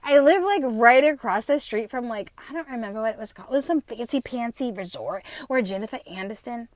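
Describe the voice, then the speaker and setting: Fast, foul-mouthed woman in her fifties. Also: on the high side, expressive, no echo, clean studio sound. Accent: New Jersey, at times. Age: 50s. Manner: fast-talking, profane and rambling; emphatic, with frequent tag questions. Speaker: podcast host. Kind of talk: unscripted podcast monologue.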